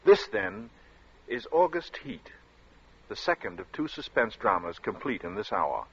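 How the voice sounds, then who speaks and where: A man's voice: mid-range voice, big pitch swings, a little slow, creaky, husky. A man announcing in a dramatic, scripted 1940s radio narration style. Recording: muffled 1940s radio recording.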